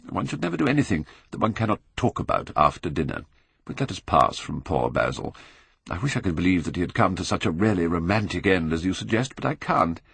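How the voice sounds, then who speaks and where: A man voicing a languid, witty, cynical older Victorian gentleman in an audiobook, with a British accent and a blasé drawl. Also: low-pitched, gravelly, breathy edge, dry recording, exaggerated voice.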